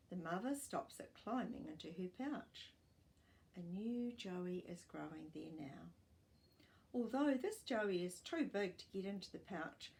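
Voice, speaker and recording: An older woman reading a children's non-fiction book aloud as a storytime host, with expressive, deliberate delivery for young children. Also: lowish voice, gravelly, a little hiss or hum. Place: small furnished living room, indoors.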